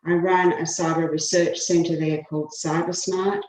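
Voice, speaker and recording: Australian woman with roomy, low voice. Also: little pitch movement, normal speed, darkish tone. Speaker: law professor, invited expert. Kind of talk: remote committee testimony. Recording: roomy echo.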